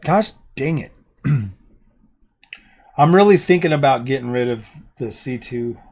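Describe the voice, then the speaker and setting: A middle-aged man hosting a casual live stream from his home gaming room, rambling and indecisive, his voice going hoarse. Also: medium pitch, lively intonation, measured pace, a little mellow. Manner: rambling and indecisive, repeats himself. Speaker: livestream host.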